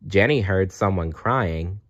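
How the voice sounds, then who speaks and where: A man's voice: low voice, slow. A man reading a children's storybook aloud as narrator, on a dull, lo-fi recording. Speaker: storybook reader.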